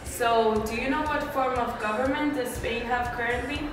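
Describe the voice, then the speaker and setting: Upbeat female interviewer, mid-pitched, long hall echo from a distance, music behind. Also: steady tone, normal speed. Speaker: student playing a survey reporter. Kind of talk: scripted mock news interview.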